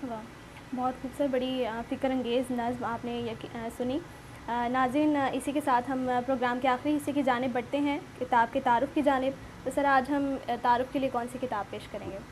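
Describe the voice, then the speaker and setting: Female literary show host, high voice, polite and formal, with some noise behind her. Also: fairly even intonation, fairly quick. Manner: polite, deferential, appreciative. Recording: noisy background.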